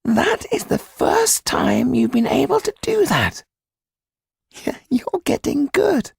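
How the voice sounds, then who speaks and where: A man voicing a blunt, sharp-tongued, knowing conspirator in a storybook, with a high, strained, raspy, creaky voice. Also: wildly swooping pitch, slow, dry recording, clean studio sound, exaggerated voice. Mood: amused.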